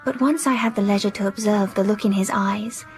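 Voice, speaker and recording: A woman playing a poised, wilful young English gentlewoman in a period radio drama, speaking precisely and with self-assurance. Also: medium pitch, steady tone, a little fast, soft background music, close to an everyday voice. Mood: earnest.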